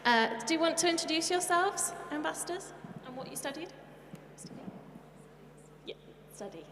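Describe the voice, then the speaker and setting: A woman's voice: high-pitched, animated, moderate pace. A woman hosting a panel, chatty and upbeat as she reads questions. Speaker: event host and moderator. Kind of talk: casual moderating. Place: large hall on stage. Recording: echoey hall, faint noise.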